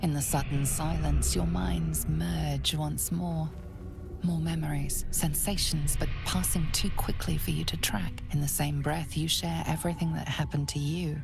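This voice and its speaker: An actress playing a grave, ominous fantasy game narrator, speaking in a hushed, dramatic way. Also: female voice, sits low, unhurried, creaky, music in the background.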